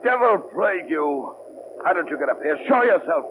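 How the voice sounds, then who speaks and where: An actor playing a ruthless, arrogant pirate submarine commander in a theatrical 1940s radio drama style, gloating and barking orders. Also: male voice, fairly high pitch, lively intonation, moderate pace, narrow, tinny audio, natural voice. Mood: furious, commanding. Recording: noisy old radio recording.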